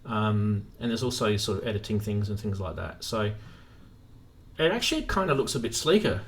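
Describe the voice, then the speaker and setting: A man's voice: fairly low pitch, animated, normal speed, slight background noise. A man hosting a live stream in a casual, informal chat, thinking aloud as he goes.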